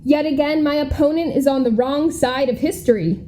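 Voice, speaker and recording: A woman's voice: high voice, normal speed, dark tone, natural voice. A young American woman playing an earnest, polished schoolgirl running for student president, speaking like a formal politician in a comedy radio play. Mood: righteous. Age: young adult. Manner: formal, rhetorical politician delivery.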